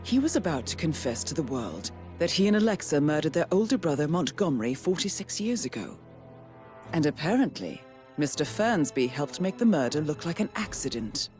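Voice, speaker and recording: An actress playing a calm, analytical female mission handler who talks an assassin through the clues. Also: low voice. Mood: thoughtful. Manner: measured, analytical. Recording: music behind.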